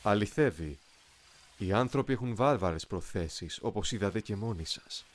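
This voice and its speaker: A man playing a young, eloquent, coolly ambitious soldier in an anime fan dub, speaking in a formal, measured, philosophical way. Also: fairly low pitch, lively intonation, close to an everyday voice. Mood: grave, philosophical. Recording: music behind the voice.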